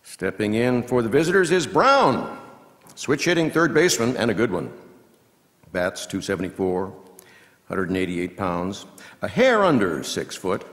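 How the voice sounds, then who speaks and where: A man playing a seasoned, folksy baseball radio announcer, giving play-by-play with measured asides that break into punchy, drawn-out calls. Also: lowish voice, big pitch swings, a bit bright. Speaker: reader performing a scripted baseball commentary. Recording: long hall reverb from live venue.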